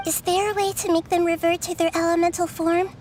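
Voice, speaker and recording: A woman voicing a small, wise child goddess in a video game, gentle, earnest and composed. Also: extremely high pitch, level tone, fairly quick, very noisy. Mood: concerned, thoughtful.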